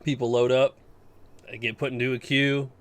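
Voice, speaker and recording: A man's voice: medium pitch, level tone, moderate pace. A man chatting casually as a podcast co-host, blunt and given to mild swearing. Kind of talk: casual gaming chat.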